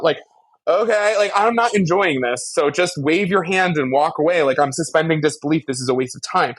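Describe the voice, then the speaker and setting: Man riffing in casual podcast chat, higher-pitched voice. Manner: animated riffing, swears freely. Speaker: podcast co-host. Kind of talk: casual chat discussing an audio drama.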